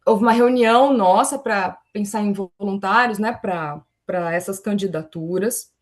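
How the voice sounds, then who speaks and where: A woman's voice: mid-range voice, measured pace. A Brazilian woman speaking as a forum panelist in an online academic presentation, explaining things and often adding small tags that seek agreement.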